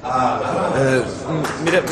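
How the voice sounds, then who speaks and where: An actor playing a flustered, obedient stage manager, halting and apologetic, in a radio mystery. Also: male voice, medium pitch, lively intonation. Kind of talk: 1950s-style French radio mystery acting. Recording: echoing theatre hall, loud audience noise.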